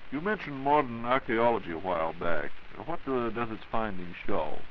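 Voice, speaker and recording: A man's voice: lowish voice, lively intonation, normal speed. An American man interviewing in a scripted 1940s radio programme, prompting with wry questions and remarks. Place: radio studio. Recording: dull, hissy 1940s radio recording.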